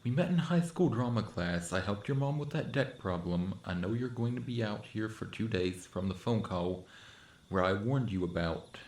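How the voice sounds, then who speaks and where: A man's voice: on the low side, moderate pace, a little mellow, echoey room, close to an everyday voice. A young man acting in an audio roleplay as an awkward, sardonic friend who is secretly a monster, talking casually and hesitantly to the listener. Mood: awkward, defensive.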